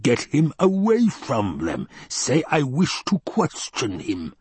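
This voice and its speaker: A man acts out a scheming, tyrannical baron in a dramatised audiobook. The voice rumbles, husky and drawn-out, with imperious contempt in a close, dry recording. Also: wildly swooping pitch, darkish tone, no echo, exaggerated voice. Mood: cold command. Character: menacing, scheming, obese male baron and tyrant.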